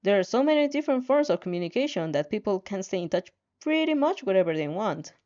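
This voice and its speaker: A woman's voice: mid-range voice, sing-song, fast, darkish tone. A woman narrating fan fiction expressively, with casual reactions, on a slightly dull, lo-fi recording.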